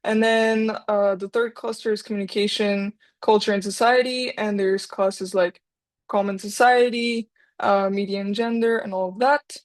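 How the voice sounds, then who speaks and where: A woman's voice: mid-range voice, steady tone, moderate pace. Chatty young woman from Bulgaria. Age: young adult. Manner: chatty, loses her words now and then. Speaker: student speaker. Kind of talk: informational talk at a university event.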